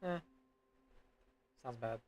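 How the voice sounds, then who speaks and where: A man's voice: very slow, a crowd in the background. A man commenting on a video game playthrough in a musing, conversational way. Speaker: game streamer. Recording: loud game music behind voice. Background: loud game music.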